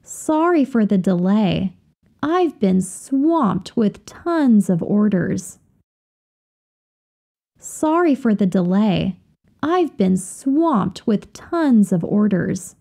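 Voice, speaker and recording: A woman reading example sentences slowly and carefully, repeating each phrase for learners to copy. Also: mid-range voice, vocal fry, dark tone. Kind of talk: slow, clear drill reading of example phrases.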